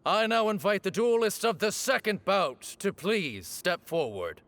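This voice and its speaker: A man's voice: high-pitched, sing-song, a little slow, a bit bright, heavily acted. A man playing a stern, formal duel arbiter in a video game, speaking in a ceremonial, commanding manner.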